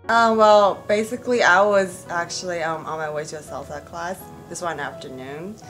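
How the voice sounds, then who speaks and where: A woman's voice: lowish voice, normal speed, fairly bright tone, faint music behind. A woman in her twenties, an actress answering interview questions in a rambling way, full of "like" and "you know". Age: 20s.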